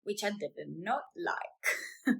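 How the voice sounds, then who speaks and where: A woman's voice: medium pitch, lively intonation, drawn-out words, creaky, a bit bright, breathy edge. A woman in her thirties from Italy with an Italian accent, speaking English as a second language, talking casually and hesitantly with fillers and restarts in her own vlog. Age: 30s. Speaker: vlogger, business owner.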